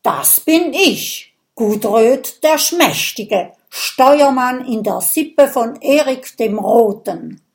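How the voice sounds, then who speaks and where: A woman voicing a burly, blustering male Viking who is proud and quick to tears, with booming exclamations. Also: expressive, vocal fry, darkish tone, full character voice. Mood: aggrieved. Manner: booming exclamations, quavering when upset.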